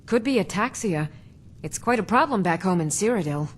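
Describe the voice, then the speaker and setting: A woman plays a middle-aged potion seller in a fantasy game. She is brisk, proud and full of opinions, with a medium-pitched voice. A faint rumble sits underneath. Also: lively intonation, natural voice. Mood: brisk, chatty. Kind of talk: fantasy video game acting.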